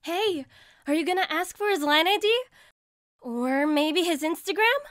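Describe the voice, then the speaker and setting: Woman as piercingly high, excitable schoolgirl fan. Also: moderate pace, dry recording, clean studio sound. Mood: starstruck. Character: starstruck, excitable, squealing teenage schoolgirl admirer. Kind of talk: manga fandub acting. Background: music.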